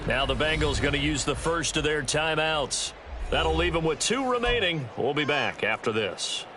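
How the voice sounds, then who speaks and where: A man's voice: on the high side, expressive, normal speed, music in the background, close to an everyday voice. A man playing an American play-by-play announcer in a football video game, with a polished, punchy broadcast delivery. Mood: steady, informative.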